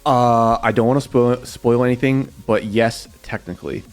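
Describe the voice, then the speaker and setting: A young man with a slightly raspy voice, casually answering viewer questions on a livestream in a rambling, filler-heavy way. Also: mid-range voice, fairly even intonation, moderate pace, faint music behind. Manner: rambling, loose, many fillers and repeats.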